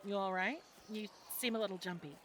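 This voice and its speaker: Woman playing friendly teasing fantasy tavern gal, bright voice, chatty guide to a newcomer, audio rp with background ambience. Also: medium pitch, fairly quick, a little hiss or hum, close to an everyday voice. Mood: guiding. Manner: conversational, gently instructive. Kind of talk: audio roleplay acting, adventure ASMR.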